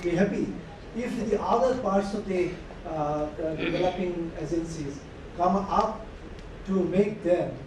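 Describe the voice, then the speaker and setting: A man's voice: sits high, measured pace. Hesitant man in an echoey hall. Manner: hesitant, full of fillers. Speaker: panel speaker. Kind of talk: conference remarks. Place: large conference hall. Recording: distant echoey hall recording with noise.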